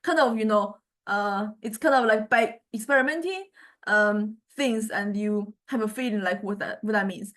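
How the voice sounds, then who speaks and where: A woman with a Chinese accent giving an informal software tutorial as a lecturer, speaking haltingly with repeated words and frequent checking tags like okay and right. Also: medium pitch. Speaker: university lecturer in music technology. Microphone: small condenser microphone.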